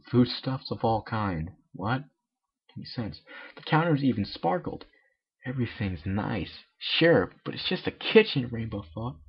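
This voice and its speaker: A man's voice: mid-range voice, husky, highs cut off. A young man reading fan fiction informally, with jokey, self-deprecating asides. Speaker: amateur fan fiction reader.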